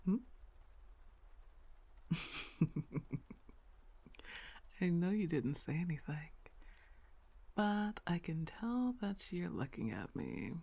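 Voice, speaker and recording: A woman playing a dominant, indulgent, coolly amused girlfriend in an intimate, partly whispered ASMR roleplay, coaxing and teasing with a husky, breathy voice. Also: on the low side, big pitch swings, heavily acted. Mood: teasing, probing. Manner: slow, coaxing, teasing questions with soft chuckles.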